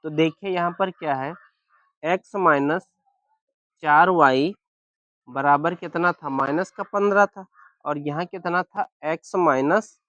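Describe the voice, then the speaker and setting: A man with a sore, hoarse throat teaching a maths lesson step by step, often asking if everyone is following. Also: on the high side, measured pace, pauses often, fairly bright tone, no echo, highs cut off. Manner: keeps asking "clear?" and "any problem?".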